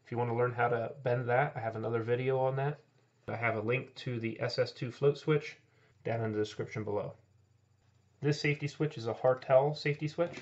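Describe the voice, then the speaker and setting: A man's voice: mid-range voice, steady tone, a little fast, dull audio. A man who is an HVAC technician and channel host, plainly explaining equipment as he points things out during a hands-on walkthrough, filmed point-of-view on a camera-mounted mic. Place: indoor utility closet, later outdoors by a heat pump. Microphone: camera-mounted mic, speaker filming himself point-of-view.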